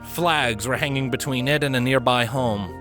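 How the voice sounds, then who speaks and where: A man reading a story aloud as narrator, in an expressive scripted style. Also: medium pitch, moderate pace, over music. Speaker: narrator, fan fiction reader.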